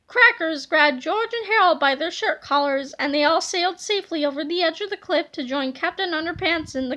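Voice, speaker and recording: A woman reading a children's storybook aloud in an expressive narrating style. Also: very high voice. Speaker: storybook reader. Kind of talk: animated read-aloud of a children's book.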